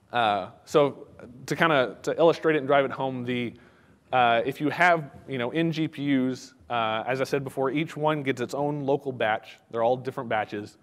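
A man giving a technical conference talk in a casual, halting way, with many fillers and restarts. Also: medium pitch, long reverb tail. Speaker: conference speaker. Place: conference hall.

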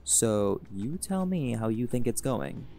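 Guy doing a chill flirty jaguar shifter dude, kinda low and gravelly, easygoing, soft music under it. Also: measured pace. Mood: laid-back. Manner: easygoing, teasing, relaxed drawl with laughs. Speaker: voice actor in audio roleplay. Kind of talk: audio roleplay, speaking to listener.

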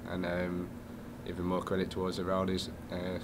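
A young man answers casually into a desk mic at a post-game press conference. His voice sits very low and stays flat, and a clear hiss runs behind it. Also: normal speed. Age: young adult. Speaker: player. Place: small press room. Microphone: desk mic on stand.

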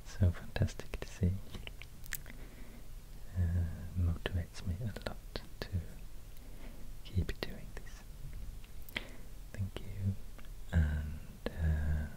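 A man with a Swedish accent speaking in a soft, mostly whispered ASMR style, gentle and hesitant. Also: sits low, steady tone, drawn-out words, long pauses, poor audio. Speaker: ASMR video host. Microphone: close binaural microphone. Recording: close binaural mic, faint hiss, clicks.

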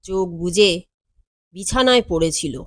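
A woman voicing a composed, world-weary male lawyer in an audio story, speaking in a measured, matter-of-fact and wry way. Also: mid-range voice, dry recording, studio quality, lightly acted.

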